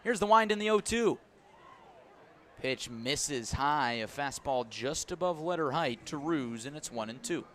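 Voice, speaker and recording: A man's voice: fairly high pitch, expressive, a little slow, a bit bright. A man giving live radio play-by-play of baseball, in clipped descriptive phrases that rise with the action. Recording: noisy outdoor ballpark ambience.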